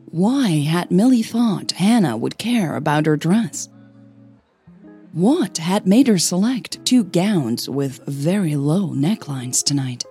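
A woman with a low, mellow voice tells a scripted story at an unhurried pace. Her delivery is measured and set out phrase by phrase, as a podcast narrator reading fiction. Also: slightly rough.

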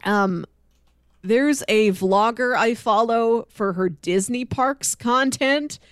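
A woman co-hosting a podcast in casual chat, speaking in an enthusiastic, expressive way. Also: a little high, slow pace, smooth, no room sound, clean studio sound. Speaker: podcast co-host. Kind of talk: casual chat with read-out film pitches.

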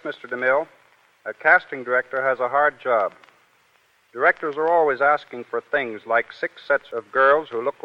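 A man giving a prepared, scripted talk as a guest on a 1930s radio show. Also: mid-range voice. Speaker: guest speaker, casting director. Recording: muffled, reverberant 1930s radio recording.

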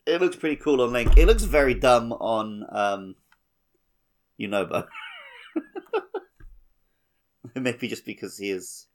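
A man's voice: mid-range voice, takes it slowly, pauses often. A man co-hosting a podcast, chatting casually about a video game. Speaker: podcast co-host. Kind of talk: casual gaming chat.

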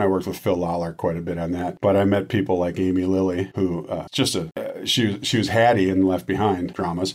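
Middle-aged male guest, very low voice, chatty and rambling, full of ums. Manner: rambling, enthusiastic, many ums and restarts. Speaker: audio drama writer and guest. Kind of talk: casual interview chat.

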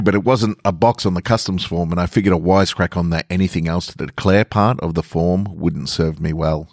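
Deep-voiced man, dry close recording. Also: a little mellow, no echo. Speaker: podcast host reading own short fiction. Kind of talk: casual intro and narrated short stories.

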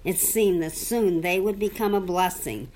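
A woman reading a children's Bible storybook aloud to a young child, with simple, deliberate phrasing and questions for the listener. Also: fairly low pitch, darkish tone, a little hiss or hum, rough recording.

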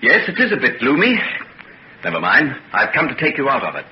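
An actor playing a courteous, evasive gentleman doctor in an old-time radio serial, speaking politely and hesitantly with reassurance. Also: medium pitch, huge pitch swings, a little fast. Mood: reassuring. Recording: muffled, noisy old radio recording.

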